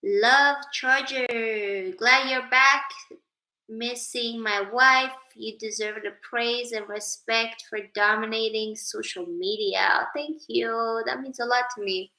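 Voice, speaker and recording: Slow, bright-voiced woman chatting on a livestream. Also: on the high side, fairly even intonation, echoey room, poor audio. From Sweden. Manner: chatty, reads viewer names aloud, drifts into spiritual musing. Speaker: livestream host. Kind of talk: casual livestream chat reading comments.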